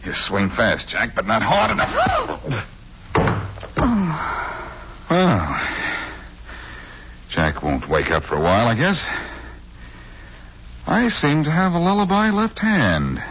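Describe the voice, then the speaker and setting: An actor playing a cool, glib amateur sleuth in 1940s radio style, on an old muffled recording. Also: male voice, medium pitch, wildly swooping pitch, unhurried, pauses often, slight background noise. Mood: cool, defiant. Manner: glib, teasing. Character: wisecracking, cool, unflappable amateur sleuth man.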